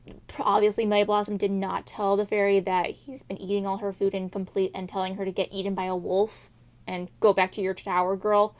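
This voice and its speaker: A woman's voice: medium pitch, flat delivery, brisk, a little mellow. A woman retelling a fairy tale casually and comically, with slangy, theatrical, quirky delivery. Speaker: storyteller.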